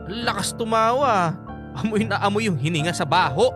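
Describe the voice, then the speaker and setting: A man's voice: high voice, expressive, moderate pace. An actor playing a cocky, flippant young man who needles his friends in comedic radio drama banter. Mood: teasing. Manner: teasing, flippant. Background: music.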